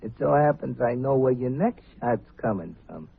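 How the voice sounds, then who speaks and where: A man's voice: mid-range voice, expressive, moderate pace, vocal fry, slightly rough. An actor playing a squat, gloating gunman who poses as a shoe shiner, taunting in slangy tough talk in an old radio crime drama. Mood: mocking. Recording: muffled phone-like vintage radio recording.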